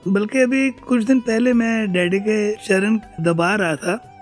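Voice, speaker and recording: A man's voice: sits high, steady tone, takes it slowly, soft background music. A man speaking as an interview guest, reminiscing conversationally and acting out remembered exchanges as he goes. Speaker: guest recalling his father.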